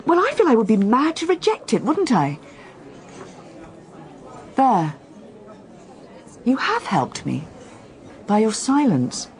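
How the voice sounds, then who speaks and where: Earnest reporter in a radio play, very animated pitch, well spoken but a bit hesitant. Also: female voice, takes it slowly, pauses often, a faint crowd. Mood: earnest, frustrated. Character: earnest, restless woman reporter, the detective's friend. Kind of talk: radio drama acting.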